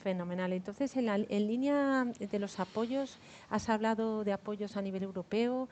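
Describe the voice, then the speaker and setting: A woman moderating a panel, asking questions and nodding along with short encouraging remarks. Her voice sits at a medium pitch with faint noise behind. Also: moderate pace, darkish tone.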